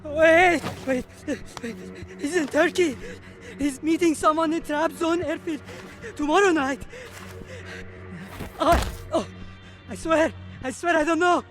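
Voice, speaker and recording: An actor playing a nervous, evasive foreign captive in a video game, pleading and stammering. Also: male voice, very high voice, slow, a bit bright, faint music behind, heavily acted. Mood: frightened, desperate. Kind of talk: cinematic military game acting.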